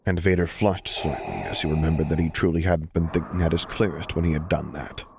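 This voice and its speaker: A man reading fiction as an audiobook narrator, in an expressive scripted storytelling style. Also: extremely low pitch, fairly quick, vocal fry, background noise.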